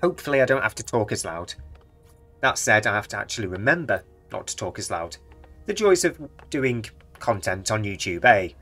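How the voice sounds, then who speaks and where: A man's voice: on the low side, sing-song, normal speed, a bit bright, soft background music. A young British man in his twenties, casually rambling through game market commentary from a small home room, his voice slightly worn. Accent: British English. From Britain. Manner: rambling, chatty, speculative. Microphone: desk microphone near face.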